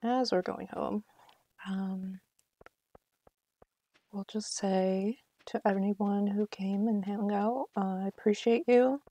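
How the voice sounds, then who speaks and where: Woman streamer with a relaxed, creaky voice. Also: medium pitch, slow, long pauses, dry recording. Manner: chatty, self-talking, mock-exasperated. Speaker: video game streamer. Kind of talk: casual live game stream commentary.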